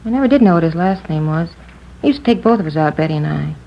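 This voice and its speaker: Female market clerk: casual, distracted, lowish voice on a muffled vintage radio recording. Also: animated, creaky, full character voice. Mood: casual, recalling. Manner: casual, halting, distracted between customers. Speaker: market counter clerk being questioned. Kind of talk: 1950s radio drama, naturalistic. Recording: dull, faintly noisy old radio recording.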